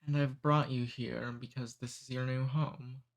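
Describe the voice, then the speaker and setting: A man voicing a cordial, amused male demon who takes humans away, speaking softly and unhurriedly in an ASMR roleplay. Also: medium pitch, flat delivery, a little slow, close to an everyday voice.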